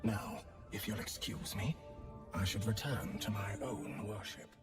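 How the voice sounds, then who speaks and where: An actor playing a serene, sinister priest devoted to pain in a video game, measured, unctuous and softly intense. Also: male voice, lowish voice, distant mic, over music, lightly acted. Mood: coldly dismissive.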